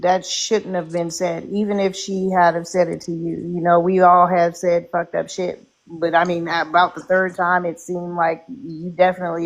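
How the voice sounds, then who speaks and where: A woman's voice: fairly low pitch, creaky, rough recording. A woman in a casual live-stream talk who pushes hard with repeated questions and swears freely. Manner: pushy, profane, rapid questioning.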